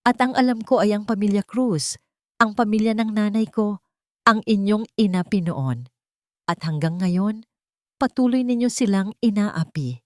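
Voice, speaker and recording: A woman storyteller voicing a brave young woman seeking justice, speaking firmly, clearly and earnestly in an audio drama. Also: medium pitch, measured pace, dry recording, clean studio sound, voice pushed up, lightly acted. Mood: defiant, calm.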